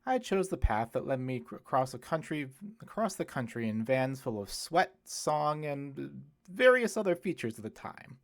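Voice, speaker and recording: A man voicing a genial older priest, a wry former hippie who talks in gently eloquent, wordy phrases for an audiobook. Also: mid-range voice, big pitch swings, normal speed, few pauses, voice pushed up. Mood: amused, nostalgic.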